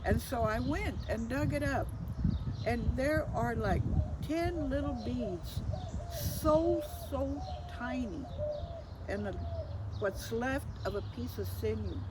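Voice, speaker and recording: A woman's voice: on the high side, expressive, slow pace. An older woman telling personal stories informally, reflective and hesitant, mixing in Spanish phrases. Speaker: storyteller. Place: outdoors. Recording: distant mic, loud outdoor ambient noise.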